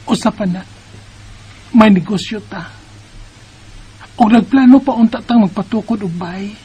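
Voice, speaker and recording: Woman acting bisaya filipino radio drama heroine, young mom all upset and pleading, soft dark husky high voice. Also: measured pace, low-quality recording. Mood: hurt, doubtful. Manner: plaintive, emotional. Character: distressed young mother, live-in partner of a married man. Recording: music behind the voice.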